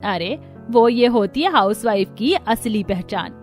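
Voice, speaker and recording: A woman's voice: on the high side, lively intonation, a little slow, faint music behind. A woman voicing a proud, approving older mother-in-law in a cartoon story.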